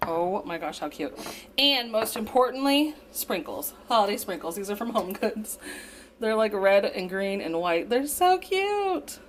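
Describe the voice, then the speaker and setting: A young woman in her twenties chatting casually on a home vlog, her voice slightly raspy from dust, with a chatty, upbeat manner. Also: medium pitch, very animated, unhurried, a little hiss or hum. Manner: chatty, upbeat, filler-heavy. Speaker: vlogger. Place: home, indoors. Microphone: camera propped up nearby.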